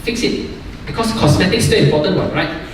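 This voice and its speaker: A man's voice: sits high, fairly quick. Malaysian-raised man, second-language English, workshop lecturer full of "okay"s, distant mic in a big echoing hall. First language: not English. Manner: frequent "okay" and "you know" fillers, coaching tone. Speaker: workshop speaker, journal editor. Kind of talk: academic workshop talk. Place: large university hall. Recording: echoey hall, distant mic, faint noise.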